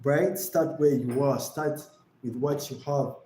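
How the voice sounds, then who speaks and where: Young man from Uganda, streamer, earnest, repeats himself, warm tone, faint room noise. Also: mid-range voice, fairly even intonation, slow pace, roomy sound, low-quality recording. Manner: earnest, reflective, repeats phrases. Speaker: live streamer and creator. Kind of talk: livestream talk.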